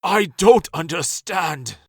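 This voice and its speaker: A man playing a bewildered hellspawn warrior with a croaky, husky voice in a comic dub. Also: a little high, lively intonation, slow pace, noisy background.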